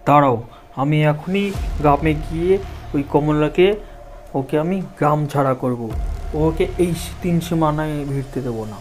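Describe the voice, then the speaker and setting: A man acts out a commanding village landlord from a cartoon story. His voice sits a little high for a man, stern and quick to temper. Music plays underneath. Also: close to an everyday voice. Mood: angry, scolding.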